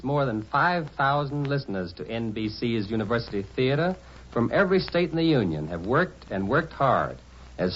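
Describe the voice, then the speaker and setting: A man delivers a formal, scripted address on old-time radio in the manner of a university president. He is deliberate and emphatic, with wide pitch movement. The recording is dull and dated.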